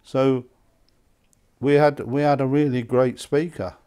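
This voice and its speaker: A man in his seventies with an English accent, reminiscing conversationally in an interview, halting and repeating words. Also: mid-range voice, steady tone, mellow, smooth. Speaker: loudspeaker maker being interviewed. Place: small indoor hi-fi demonstration room. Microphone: lapel mic.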